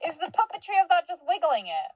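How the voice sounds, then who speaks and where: A woman's voice: extremely high pitch, huge pitch swings, fast, a little mellow, no room sound. A woman hosting a craft show with casual banter.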